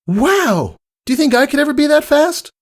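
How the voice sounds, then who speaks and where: Guy putting on a little girl pony, eager and earnest, pitched way high, bouncy storybook voice. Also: big pitch swings, moderate pace, full character voice. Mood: eager, awed. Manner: earnest, youthful, hesitant. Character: eager young filly pegasus, admiring and earnest.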